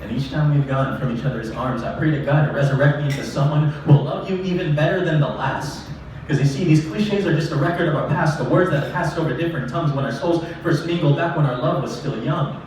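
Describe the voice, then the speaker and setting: A man's voice: fairly high pitch, quick pace, darkish tone. A young man performing spoken-word poetry live at a stage microphone, earnest and rhythmic, with casual asides. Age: young adult. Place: small live open-mic venue stage. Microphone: stage microphone, captured from a distance. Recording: very echoey live room, distant, noisy.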